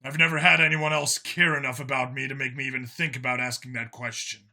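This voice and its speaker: A man voicing a jaded, emotionally guarded male cop who talks bluntly and haltingly, questioning himself, in an audiobook story. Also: mid-range voice, little pitch movement, sharp tone. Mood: troubled, unsure.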